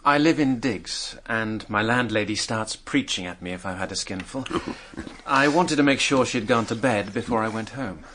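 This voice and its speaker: An actor playing a hard-up, self-mocking drinker under questioning in a British radio drama, wry and hesitant. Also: male voice, lowish voice, normal speed, fairly bright tone, slight background noise, close to an everyday voice.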